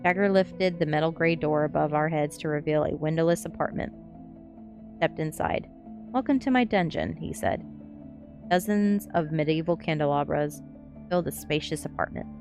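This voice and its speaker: Female story reader for an ASMR stream, low register, faint music underneath. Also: fairly even intonation, normal speed, a little mellow. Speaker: streamer reading a novel aloud.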